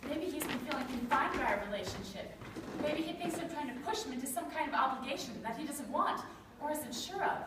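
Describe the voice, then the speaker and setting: A woman's voice: sits high, animated, a little fast. A young woman playing an anxious, overthinking, romantic girlfriend in an earnest, melodramatic readers' theater comedy. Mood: anxious pondering. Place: school chapel stage before an audience. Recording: very echoey hall, distant mic, faint noise.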